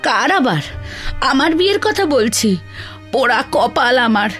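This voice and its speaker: Really high nagging village wife, worn out and snappy, cartoon style, music behind. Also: female voice, big pitch swings, a little slow, fairly bright tone, exaggerated voice. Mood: bitter, exasperated. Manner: scolding, complaining, theatrical. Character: sharp-tongued, weary village wife, nagging and scolding. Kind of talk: Bengali children's cartoon dialogue.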